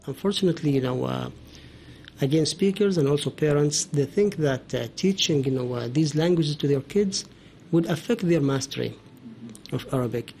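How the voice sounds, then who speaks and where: A man from Oman speaking as a linguist guest, explaining in a halting way with many hesitations. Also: fairly high pitch, creaky, darkish tone, a little hiss or hum. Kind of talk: explanatory podcast talk.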